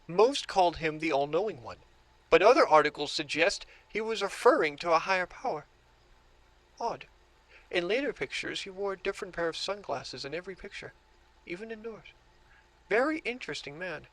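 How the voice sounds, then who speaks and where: An actor playing an obsessive book collector who grows entranced, in a horror audio drama. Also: male voice, high voice, animated, moderate pace, a bit bright, low-quality recording. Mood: intrigued, obsessive. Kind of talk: audio drama monologue and dialogue.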